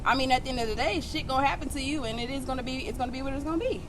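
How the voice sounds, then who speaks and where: A woman's voice: sits high, rapid-fire, noisy background. A woman in her twenties co-hosting a podcast chat, blunt and candid, swearing freely. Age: 20s. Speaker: podcast co-host. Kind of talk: casual chat.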